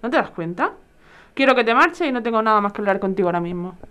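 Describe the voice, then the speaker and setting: A woman's voice: fairly high pitch, expressive, quick pace, a bit bright, clean tone. A woman playing a resolute, fed-up wife leaving her husband in an audio drama. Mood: firm, fed up.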